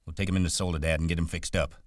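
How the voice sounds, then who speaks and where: Casting: male reader as gruff, fair, commanding ranch man, very low voice, blunt rural talk, audiobook. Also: level tone, very fast, no room sound, clean studio sound, close to an everyday voice. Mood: grave.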